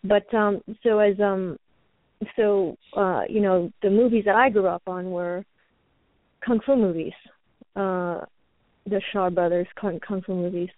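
An American woman, a native Chinese speaker, giving a conversational interview as a call-in guest, rambling haltingly and often repeating words. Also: mid-range voice, steady tone, dry recording. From New York, United States. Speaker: guest, actress and martial artist. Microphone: telephone call. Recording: dry, narrow phone line.

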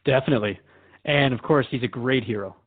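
A man's voice: mid-range voice, fairly quick, no echo, phone-quality sound, natural voice. A man playing an earnest, puzzled radio host who asks reflective questions in a scripted drama interview. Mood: earnest, unsettled.

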